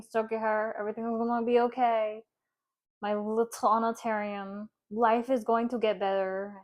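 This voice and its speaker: A woman giving a casual tarot reading, speaking softly and comfortingly, with halting pauses and fillers. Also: fairly high pitch. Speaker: tarot card reader.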